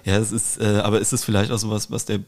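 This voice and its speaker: A man co-hosting a casual interview podcast, phrasing questions loosely and haltingly, with repeated words. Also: fairly low pitch, level tone, a little mellow. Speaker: podcast host. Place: kitchen.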